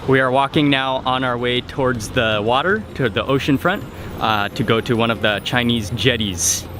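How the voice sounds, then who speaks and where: A man's voice: medium pitch, normal speed, noisy background. A man hosting a travel vlog, talking casually to the camera as he narrates his outing. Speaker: travel vlogger, host. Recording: music behind, outdoor street sounds. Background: music, street and vehicle sounds.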